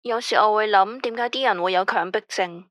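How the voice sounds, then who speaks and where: A woman with a Hong Kong Cantonese accent playing an anxious, obsessive late-night radio caller who talks in a quiet, flat, unsettlingly matter-of-fact way. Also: medium pitch, fairly quick, no room sound, studio quality, natural voice. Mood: uneasy. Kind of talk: radio drama acting.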